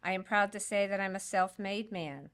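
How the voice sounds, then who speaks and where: Lady reading out a prepared statement at a forum, steady, measured, the odd little stumble. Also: medium pitch. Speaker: stand-in reading a candidate's statement. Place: public forum hall.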